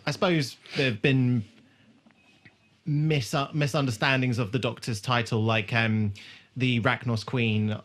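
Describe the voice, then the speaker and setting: A man with a mid-pitched voice co-hosts a podcast. He talks casually and informally, as if chatting through a show with a friend. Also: fairly even intonation, measured pace, a bit bright. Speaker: podcast co-host. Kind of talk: casual rewatch podcast chat.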